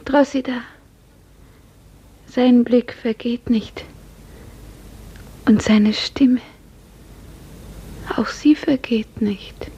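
A woman playing a dreamy, grief-numbed young serf in a 1950s radio drama, hushed, breathy and mournful. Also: fairly high pitch, fairly even intonation, extremely slow, long pauses, muffled recording, full character voice. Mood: dreamy devotion. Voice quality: breathy, half-whispered.

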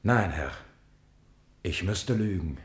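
An actor in a 1950s German radio drama playing a humble, shaken soldier who speaks gravely and deferentially, recorded with room echo. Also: male voice, sits low, animated, slow pace. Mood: earnest. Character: humble, shaken soldier reporting to his lord. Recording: roomy vintage radio studio recording.

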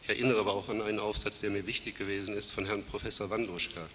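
A man with a cold giving a formal academic lecture, measured, with fillers and self-corrections. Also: lowish voice, little pitch movement, pushed and bright. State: has a cold. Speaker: conference speaker. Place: lecture hall. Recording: hissy reverberant hall recording.

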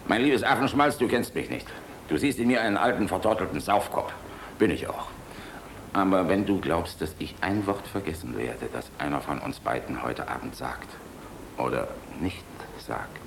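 An actor playing a cynical, hard-drinking middle-aged general in a radio play, gravelly and wry, swinging between gruff and tender. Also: male voice, very deep voice, noisy background, exaggerated voice. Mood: tipsy, amorous.

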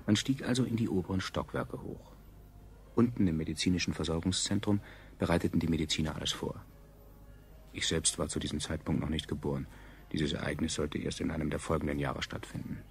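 An actor playing a detached narrator in a science fiction radio play, speaking in a dry, ironic, wordy way. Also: male voice, very deep voice, fairly even intonation, a little fast, vocal fry. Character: detached, ironic male narrator recounting a catastrophe. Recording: faint noise, 1970s radio production.

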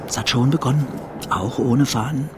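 An actor playing a brooding, haunted surgeon in a radio drama monologue. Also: male voice, medium pitch, moderate pace, background noise, lightly acted. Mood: dreamy, detached. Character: brooding suspended surgeon haunted by his own hands.

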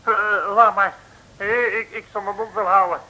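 An actor playing a bossy, rough sailor in an old Dutch radio drama, commanding in colloquial speech. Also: male voice, high voice, fairly even intonation, normal speed, pushed and bright, heavily acted, poor audio. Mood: flustered.

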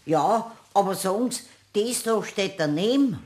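A woman's voice: mid-range voice, animated, measured pace, darkish tone, lightly acted. An actress playing a talkative, scatterbrained housekeeper woman with a Bavarian accent in a radio play, colloquial and full of exclamations. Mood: chatty, curious. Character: chatty, well-meaning, scatterbrained housekeeper woman. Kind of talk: German radio play acting.

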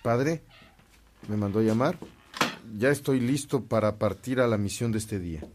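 A man's voice: lowish voice, normal speed, noisy background, lightly acted. A male actor playing a devout, earnest and commanding young Mexican priest in a radio drama. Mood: respectful.

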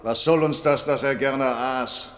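A man's voice: medium pitch, fairly even intonation, a little slow, fairly bright tone, natural voice. Man as an indignant teacher juror in reverb. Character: sceptical, indignant male teacher juror of the dead. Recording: long hollow reverb.

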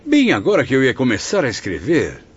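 A man's voice: mid-range voice, huge pitch swings, brisk, breathy edge, heavily acted. A Brazilian man acting in a radio drama as an educated, troubled patient, speaking earnestly and formally. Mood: guarded. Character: anxious, educated male patient, a suffering spirit writer. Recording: muffled, faintly noisy radio drama recording.

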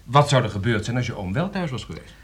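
Wry, husky-voiced thriller writer. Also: male voice, mid-range voice, very animated, fast. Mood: wry, pondering. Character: shrewd, wry thriller writer, thoughtful and hesitant. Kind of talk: radio drama acting.

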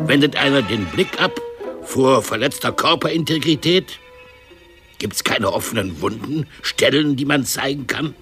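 A sardonic bully in a radio play, slow declamatory delivery, very animated pitch, scornful. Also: male voice. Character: scornful, bullying man impatient with invisible suffering. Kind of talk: 1970s German radio drama acting.

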